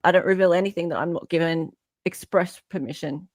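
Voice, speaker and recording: A woman's voice: on the low side, fairly quick, dry recording, studio quality. A woman with an Australian accent hosting a casual live chat, emphatic and given to repeating phrases for effect. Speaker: livestream host.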